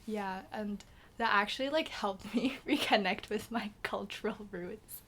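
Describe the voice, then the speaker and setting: Teen girl from Macau with a slight British tinge, casual guest chat, lots of "like", drifts soft at phrase ends. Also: mid-range voice, measured pace. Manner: chatty, filler-heavy, trails into soft half-whispers.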